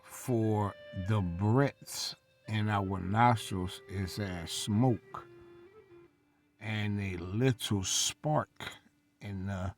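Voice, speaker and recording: Very slow, deliberate man reading scripture. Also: fairly low pitch. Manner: deliberate, halting phrasing with long pauses. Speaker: religious reader and commentator. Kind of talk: slow scripture read-along with commentary.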